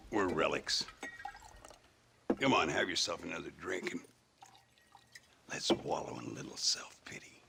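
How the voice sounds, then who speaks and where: Gravelly, low-voiced old gunslinger. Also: male voice, background noise, exaggerated voice. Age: older. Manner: wry, drawling, world-weary. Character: weary, sardonic old American gunslinger, self-mocking. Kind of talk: video game character acting.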